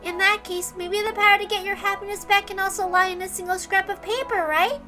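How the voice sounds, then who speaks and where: Visual novel little girl: tiny high bright voice, earnest, serene, patiently lecturing optimist. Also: fairly even intonation, soft background music. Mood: serene, preachy. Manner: measured, reasoning, gently lecturing. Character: serene nine-year-old girl, earnest, gently preachy, unshakably optimistic. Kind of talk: dramatic reading of a visual novel.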